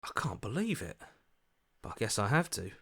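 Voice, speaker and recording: Game role: earnest everyman inner voice, sceptical and reasoning aloud, expressive rises and falls. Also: lowish voice, moderate pace, slightly rough, heavily acted. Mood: wary, incredulous. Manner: questioning, hesitant, reasoning aloud. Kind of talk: video game voice acting.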